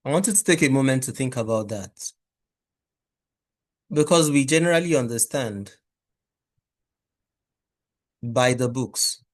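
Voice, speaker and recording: A man giving a motivational talk to a class at a medium pitch. He is measured and speaks straight to the listeners.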